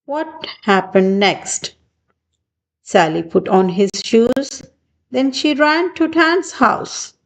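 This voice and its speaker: Very slow-paced woman reading a children's storybook. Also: a little high. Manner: deliberate, short measured phrases. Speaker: children's book reader. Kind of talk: read-aloud storybook narration for young children.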